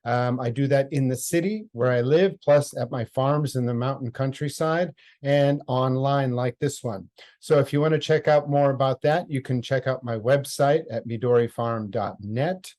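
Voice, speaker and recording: Guy hosting an online talk, friendly and measured, some ums. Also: mid-range voice, a little mellow. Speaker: host.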